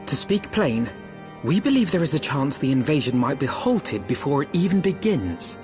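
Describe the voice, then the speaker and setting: A young scholar-diplomat in a fantasy game. The voice is on the high side and moves animatedly, with eloquent, courteous, earnest phrasing. Faint music sits underneath. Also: male voice, very animated, moderate pace, a little mellow. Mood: formal, pleading. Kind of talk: scripted fantasy game dialogue, archaic formal English.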